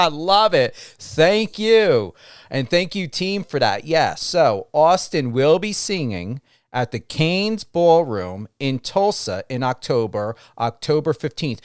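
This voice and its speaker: A man hosting a radio interview in an unscripted style, rephrasing his way through questions in a halting manner. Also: fairly high pitch, very animated, clean tone, no room sound, clean studio sound. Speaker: radio show host.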